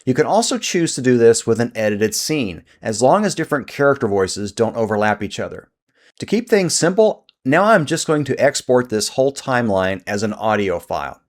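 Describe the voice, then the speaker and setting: A man narrating a scripted software tutorial as its host. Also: mid-range voice, big pitch swings, normal speed.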